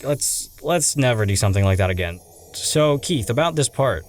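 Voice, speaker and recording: Man as a bewildered young sidekick, lively pitch. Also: normal speed, a little hiss or hum, lightly acted. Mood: puzzled, weary. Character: bewildered, exasperated young male companion. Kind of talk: audio drama acting.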